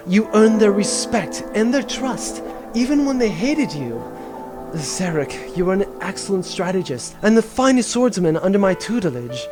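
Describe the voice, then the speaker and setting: A stern, proud queen of bandits , acted by a man, speaks in a high, deliberate voice. The delivery is grand and formal, in audio drama style with music behind it.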